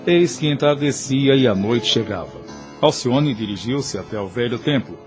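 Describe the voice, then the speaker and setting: A man's voice: mid-range voice, expressive, normal speed. A man narrating a scripted drama in a solemn, literary storytelling manner. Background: music.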